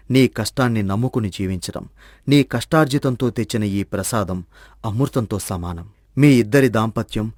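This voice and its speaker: A man voicing a serene, kindly old sage in a storybook tale, with a measured, preaching and benevolent manner. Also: lowish voice, darkish tone, no echo, studio quality, close to an everyday voice. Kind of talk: scripted moral-story dramatization.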